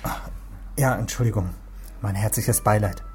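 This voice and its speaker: A man's voice: lowish voice, normal speed, darkish tone, slight background noise, natural voice. An actor playing a reserved, wary young policeman in a radio crime drama, speaking tersely. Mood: guarded. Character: wary young policeman, son of Chinese immigrant mother.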